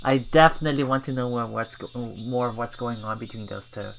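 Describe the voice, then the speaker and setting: Gravelly-voiced man reading a game aloud. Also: medium pitch, a bit bright, a little hiss or hum. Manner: halting, thinking aloud between read passages. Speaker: let's play host. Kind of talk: reading visual novel text aloud with commentary.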